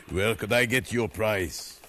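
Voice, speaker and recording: An actor playing a gruff, scolding slave-owning ship captain with a soft side, blustering theatrically in 1950s radio drama. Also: male voice, lowish voice, exaggerated voice. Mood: grudging, wry. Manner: blustering, theatrical, punctuated with querying grunts. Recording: faintly noisy vintage radio recording.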